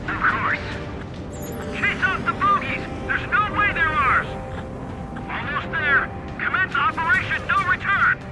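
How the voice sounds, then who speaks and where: A man's voice: very high voice, lively intonation, measured pace, pauses often. An actor playing an urgent, battle-hardened military man in a combat video game, barking orders over the radio. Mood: rousing, urgent. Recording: loud music and battle noise behind.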